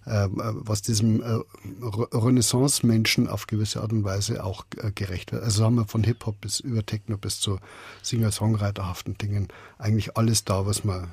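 A man's voice: on the low side, level tone, brisk, darkish tone, breathy edge, dry recording. A man being interviewed as a radio drama director, speaking spontaneously and searching for words with frequent repetitions.

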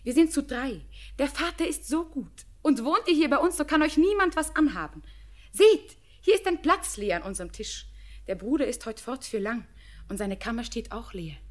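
A gentle dreamy village girl. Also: high-pitched, lively intonation, normal speed. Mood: pleading. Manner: soft, earnest, sometimes whispered. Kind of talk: classic radio play acting.

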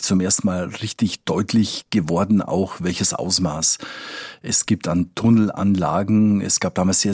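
A man's voice: low-pitched, darkish tone, slightly rough, no room sound, clean studio sound. A man talking as a correspondent, explaining conversationally, thinking aloud and repeating words for emphasis. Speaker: foreign correspondent, interview guest.

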